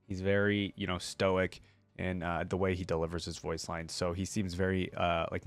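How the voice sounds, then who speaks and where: Man, reaction host, casual, rambling commentary, slightly low voice, dry close sound. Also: no echo. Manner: rambling, hedging, full of "like".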